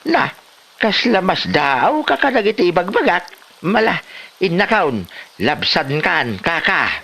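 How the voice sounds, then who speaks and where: A man's voice: high-pitched, big pitch swings, a little slow, gravelly, sharp tone, heavily acted. A man with a Filipino Ilocano accent playing a lazy, whiny grown son in a comedy drama. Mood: teasing.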